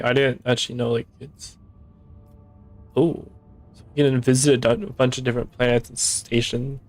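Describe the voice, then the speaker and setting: A young man streaming a game, hoarse and tired, rambling casually as he thinks aloud. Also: medium pitch. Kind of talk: casual live-stream commentary.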